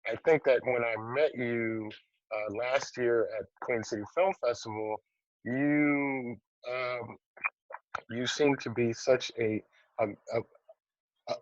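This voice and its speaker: A man hosting a casual interview over a video call, relaxed and hesitant, with many pauses and restarts. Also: medium pitch, no room sound. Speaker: interview host, film festival producer. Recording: dull, dry video-call audio.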